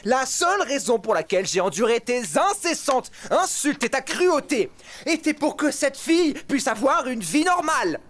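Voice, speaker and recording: A woman's voice: sits high, big pitch swings, normal speed, dry recording. A woman voicing a theatrical male demon prince in a fan-dubbed adult cartoon. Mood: weary, resolute. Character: lovestruck, theatrical male demon prince, weary married father. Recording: music behind the voice.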